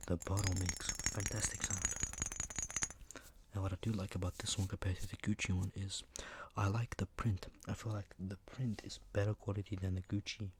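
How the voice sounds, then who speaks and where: A man hosting an ASMR video, chatting casually in an offhand, soft-spoken way that sometimes drops to a whisper. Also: sits low, little pitch movement, moderate pace. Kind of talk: ASMR, casual product chat. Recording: clear background noise, tapping and clicking sounds.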